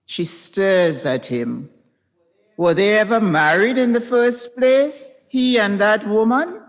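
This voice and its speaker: Woman reading a story out loud, slow n expressive, big echoey hall. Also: medium pitch. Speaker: reader at a literary event. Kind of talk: dramatized reading of fiction. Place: recital hall in front of an audience. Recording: reverberant recital hall.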